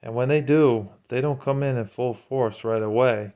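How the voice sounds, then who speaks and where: A man's voice: mid-range voice, normal speed, phone-quality sound. A man giving an informal religious talk in an earnest, preachy manner. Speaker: religious commentator.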